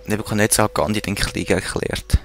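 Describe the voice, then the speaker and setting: Gravelly Swiss German man gaming. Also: fairly low pitch, steady tone, brisk, fairly bright tone. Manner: mutters to himself and talks to game units. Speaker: let's play gamer. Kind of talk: casual gameplay commentary.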